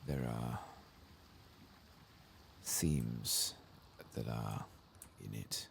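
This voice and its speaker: A soft-spoken man hosting an ASMR game playthrough in a hesitant, low-key way. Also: extremely slow, creaky, a little hiss or hum. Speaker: host of a gaming ASMR channel.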